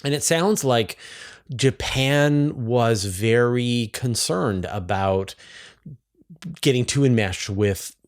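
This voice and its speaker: A man with a Canadian accent hosting a conversational interview, feeling his way through his questions and rephrasing as he goes. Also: medium pitch. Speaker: interviewer and host.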